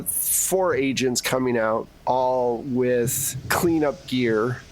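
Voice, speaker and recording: Slow-paced man narrating a tabletop game. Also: mid-range voice, low-quality recording. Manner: casual, conversational scene-setting. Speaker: game master.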